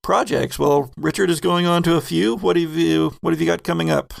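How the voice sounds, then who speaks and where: A man's voice: a little high, brisk, creaky, no echo, clean studio sound. A man hosting a podcast in casual round-table conversation. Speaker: podcast host.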